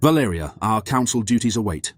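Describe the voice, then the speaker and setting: Low, coaxing vampire lord. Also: male voice, animated. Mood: smoothly persuasive. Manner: measured, formal, coaxing. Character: self-interested, persuasive elder male vampire councilman. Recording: dry recording over music.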